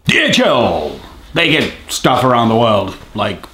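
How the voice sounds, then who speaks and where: A man's voice: mid-range voice, huge pitch swings, a little slow, bright, forceful tone, a little hiss or hum. A man giving a tongue-in-cheek sponsor read in a rambling, self-correcting way. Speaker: sponsor announcer. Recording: echoey room sound.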